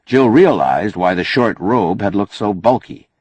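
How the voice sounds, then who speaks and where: A man's voice: on the low side, lively intonation, a little slow, a little mellow, husky, dry recording. A man narrating a novel as an audiobook, reading scripted prose expressively.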